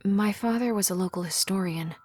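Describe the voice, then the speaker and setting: A woman voicing the grieving child of a local historian, speaking quietly and subdued. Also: medium pitch, brisk, soft background music, lightly acted.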